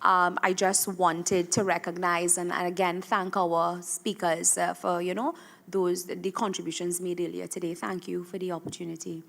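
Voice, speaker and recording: A woman with a Trinidadian accent, speaking as a government minister at a round-table conference, pausing often with fillers and restarts. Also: on the low side, little pitch movement, creaky. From Trinidad and Tobago. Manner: hesitant, many fillers and restarts. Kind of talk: formal remarks at a round table.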